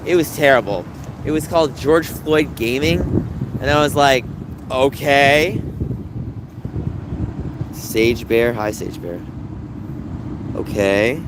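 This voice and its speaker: Rambling livestream host, lively pitch. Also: male voice, takes it slowly, pauses often. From New York, raised in New Jersey. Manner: rambling, chatty, animated, with many "like" and "right?" fillers. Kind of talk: casual chat with viewers and callers. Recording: wind and background noise on the mic.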